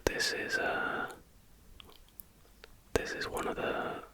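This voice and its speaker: An ASMR host speaks entirely in a soft, unvoiced whisper. The delivery is breathy and unhurried. It sounds like informal reading aloud, made for close listening.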